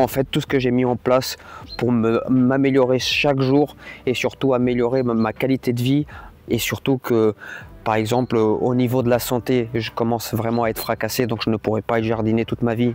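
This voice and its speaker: A man's voice: mid-range voice, darkish tone. A man whose native language is Portuguese, talking in a casual, unscripted vlog in a rambling, blunt and colloquial way. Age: adult, parent. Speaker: YouTuber, host.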